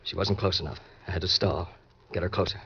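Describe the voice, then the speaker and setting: Noir radio villain: low, clipped, cynical and edgy, slangy delivery, dull vintage recording. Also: male voice, steady tone, quick pace, sharp tone, slight background noise. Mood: cynical, tense. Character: hard-boiled murderous schemer, cynical and edgy. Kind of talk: old-time radio crime narration and acting.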